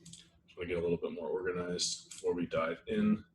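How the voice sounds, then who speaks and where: Brief man, low voice, throaty and strained, casual rambling webinar host, echoey room, faint hiss. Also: a little fast. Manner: relaxed, rambling, many fillers and restarts. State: losing his voice, clearing throat. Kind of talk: casual live webinar talk.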